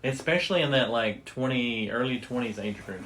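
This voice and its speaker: A man's voice: medium pitch, normal speed, fairly bright tone, roomy sound, slight background noise, poor audio. A middle-aged American man co-hosting a podcast, chatting casually and asking playful, teasing questions. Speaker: podcast co-host. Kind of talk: casual banter.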